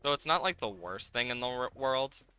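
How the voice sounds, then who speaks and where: A man's voice: medium pitch, expressive, moderate pace, pushed and bright, clear, resonant tone, soft background music. A man livestreaming a game, talking casually and playfully as he thinks aloud in a rambling way. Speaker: livestreamer, host. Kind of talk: casual live game-stream chat, reacting to game and viewers.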